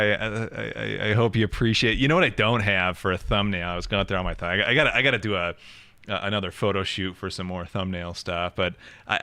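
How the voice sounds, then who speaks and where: A middle-aged man hosting a casual live stream chat in an animated, jokey, self-mocking way. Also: lowish voice, clear, resonant tone, dry recording.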